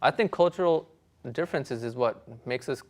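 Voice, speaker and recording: A man's voice: medium pitch, moderate pace. A young man, a guest on a talk show, pressing questions persistently and often repeating himself.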